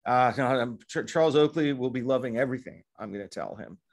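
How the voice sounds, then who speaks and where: A man in his fifties co-hosting a casual sports law podcast from a hotel room, talking in a chatty, digressive way and getting animated when he jokes. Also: mid-range voice, fast. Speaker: podcast co-host, sports lawyer. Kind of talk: casual legal podcast chat. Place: hotel room in Midtown Manhattan. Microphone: laptop webcam video call.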